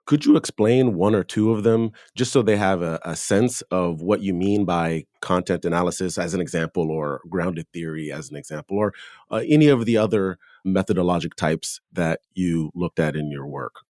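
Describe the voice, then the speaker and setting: A man hosting a scholarly podcast interview, asking long, measured questions. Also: sits low, a little mellow, no echo, studio quality.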